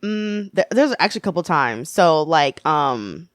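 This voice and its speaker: Lively thirty-something woman, laughing guest. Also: a little slow, no room sound, studio quality. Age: 30s. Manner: animated, jokey, laughs often, jumps up in pitch for quoted asides. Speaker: guest, author and model. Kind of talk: casual interview chat.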